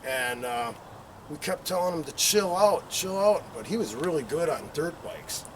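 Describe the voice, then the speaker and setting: A man's voice: on the high side, expressive, moderate pace. A middle-aged man telling a casual, rambling anecdote, acting out bits as he goes, recorded outdoors on a patio from a distance. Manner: rambling, acts out quotes and engine sound effects. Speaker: storyteller. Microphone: camera several meters away. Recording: distant mic, outdoor background noise.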